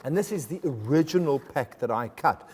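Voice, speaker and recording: A man's voice: mid-range voice, lively intonation, darkish tone, breathy edge, in a large hall. A man whose first language is German, hosting a TV magic show with joking, chatty patter in a studio. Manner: chatty, jokey, with quick laughs. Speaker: TV magician host. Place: TV studio with audience.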